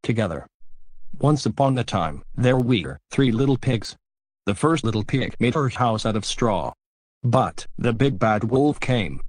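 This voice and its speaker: Man as a husky young kids' show host. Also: medium pitch, dry recording, close to an everyday voice, rough recording. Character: friendly young male children's show host. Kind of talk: simple storybook reading and children's dialogue.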